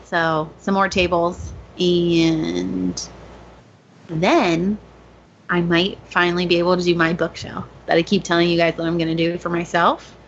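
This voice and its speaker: Low-voiced woman chatting over muffled audio. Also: flat delivery, unhurried, vocal fry. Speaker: woodworker podcast co-host. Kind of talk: casual chat. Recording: dull lo-fi audio with background noise.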